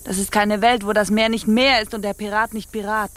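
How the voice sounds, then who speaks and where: An actress playing an imperious, mocking pirate captain in a theatrical radio play, brooding and scornful. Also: female voice, medium pitch, little pitch movement, brisk, a bit bright, lightly acted. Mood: scornful, defiant. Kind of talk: stage-like radio play acting.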